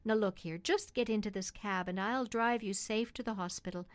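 A woman's voice: mid-range voice, moderate pace, dry recording, natural voice. A woman voicing a kindly, firm male London cab driver in an audiobook story, hearty and plain-spoken. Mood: firm, concerned.

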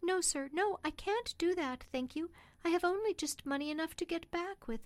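A woman's voice: very high-pitched, moderate pace, dry recording, pitched above the performer's own voice. A woman voicing a poor young country mother in an audiobook story, earnest, polite and pleading. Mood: earnest, resolute.

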